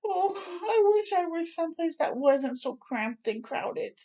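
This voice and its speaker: Woman voicing a high-pitched, wishful little storybook girl. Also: very animated, measured pace, warm tone, exaggerated voice. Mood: distressed. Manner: plaintive, wishful. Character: curious, easily discontented little storybook girl.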